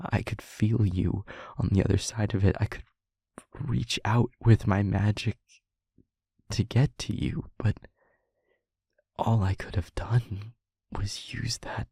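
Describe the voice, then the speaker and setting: A low, gravelly male demon, acted out by a man, trapped and anguished, confesses to someone he loves. He speaks slowly and haltingly in an intimate audio drama, each phrase heavy with feeling. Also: dark tone, dry recording, clean studio sound, heavily acted. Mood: pained, regretful. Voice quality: husky, breathy, gravelly half-whisper. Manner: halting, slow, emotionally weighted delivery.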